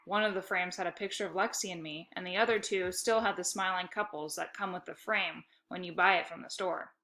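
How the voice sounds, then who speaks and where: A woman reading a children's story aloud in an expressive, emphatic narrating style. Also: on the low side, fairly quick. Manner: animated, emphatic dialogue.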